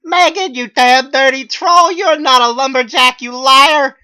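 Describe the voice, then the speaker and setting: A man voicing an overwrought, weepy, accusing young man in a dramatic story, with strained loud outbursts. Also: very high-pitched, measured pace, pitched above the performer's own voice, heavily acted. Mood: furious, accusing. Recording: dull lo-fi, dry.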